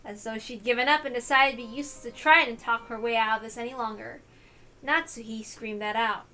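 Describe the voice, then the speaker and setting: Female narrator for a dramatic visual novel read-through, high-pitched and bright, slight noise on the recording. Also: fairly even intonation, brisk, rough recording.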